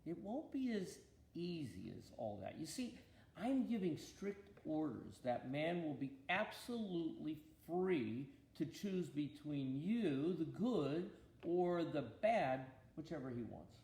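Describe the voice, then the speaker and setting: A pensive, reassuring God from a children's storybook, played by a man reader, patiently explaining things. The voice is lightly high for a man and moves freely up and down, in a roomy, echoing space. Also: sing-song, slow pace, vocal fry, darkish tone, exaggerated voice. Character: thoughtful, patient God explaining plans to his angels.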